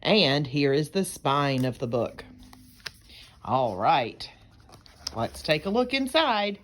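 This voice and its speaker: A woman's voice: low voice, big pitch swings, slow, slight background noise. A woman teacher reading a picture book aloud to young children, explaining things in simple terms.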